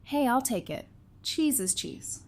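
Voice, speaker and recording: A woman's voice: fairly high pitch, very animated, a little slow, gravelly, a little mellow, heavily acted. Wry, easygoing woman over noisy ambience, acted. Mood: weary, wry. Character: wry, friendly, easygoing adult female apocalypse survivor. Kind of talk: audio drama acting, casual conversation. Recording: noticeable background ambience and noise.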